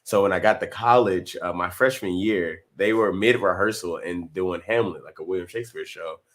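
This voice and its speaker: A man, chatting as an interview guest in a relaxed way with many fillers and restarts. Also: sits low, normal speed. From North Carolina. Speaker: guest actor. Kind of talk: casual interview.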